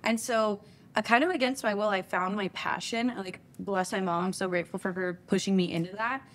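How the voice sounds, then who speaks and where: A young American woman, a guest on a studio talk show, telling stories in a lively, playful way. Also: mid-range voice, a little fast. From United States. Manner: lively, animated storyteller, playful. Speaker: guest, software engineer and content creator. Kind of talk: casual interview storytelling. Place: TV studio set, seated on couch.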